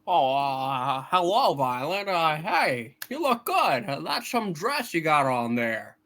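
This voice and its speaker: A man plays a folksy, earnest young hero in a hammed-up radio play reading. He speaks slowly and haltingly, with a bright tone and wide swings in pitch. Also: sing-song, exaggerated voice. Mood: aw-shucks, eager. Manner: hesitant, folksy. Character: earnest, restless young small-town man, aw-shucks hero.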